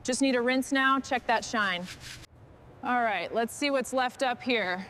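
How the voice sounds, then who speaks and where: Female actor as truck restorer, higher voice, gritty and determined, short hands-on remarks over loud vehicle noise. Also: a little slow. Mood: focused. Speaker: mechanic. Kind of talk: scripted work chatter. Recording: noisy, with vehicle and machinery sounds.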